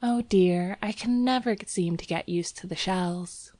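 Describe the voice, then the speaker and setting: A woman's voice: fairly high pitch, lively intonation, a little slow, no echo, voice pushed up. A woman voicing a tender, anxious young woman in an audiobook story, nervous and pleading. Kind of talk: audiobook character dialogue.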